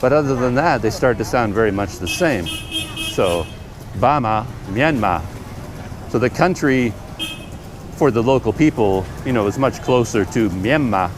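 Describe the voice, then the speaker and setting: A Canadian man talking casually to his camera in a busy outdoor market, thinking aloud with plenty of pauses and fillers. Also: on the low side, animated, music in the background. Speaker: travel vlogger. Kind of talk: casual talk to camera while walking. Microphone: camera mic. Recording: street noise around the camera. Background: traffic and trucks passing.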